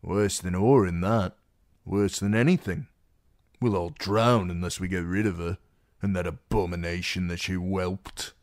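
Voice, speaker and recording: A man's voice: sits low, steady tone, a little slow, no room sound, studio quality. A narrator voicing a hard, older, superstitious male sailor who mutters crudely. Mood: hostile.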